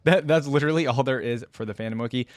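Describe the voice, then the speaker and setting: A man's voice: medium pitch, quick pace, no echo, clean studio sound. A man hosting a reaction video, chatting casually to his viewers as he comments. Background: faint music.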